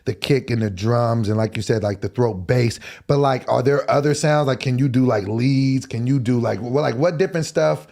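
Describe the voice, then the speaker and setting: A man's voice: medium pitch, normal speed, creaky, hardly any pauses, a little mellow. A man in his forties hosting a live-streamed talk show, laid-back and chatty, asking long rambling questions and saying "gotcha" a lot. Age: 40s. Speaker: host. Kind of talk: casual interview chat. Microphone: live stream call.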